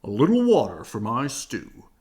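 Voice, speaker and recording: An eager, blustering father bear from a children's storybook, played by a man. He proclaims things in a hearty, drawn-out way with lively swoops in pitch and never sounds discouraged. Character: Papa Bear, hearty, boastful, undaunted father bear.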